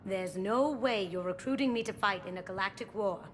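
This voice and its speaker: An actress playing a spirited, sassy young thief in a video game, with a playful manner. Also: female voice, medium pitch, fairly quick, a little hiss or hum, natural voice. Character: cheeky, spirited young female master thief.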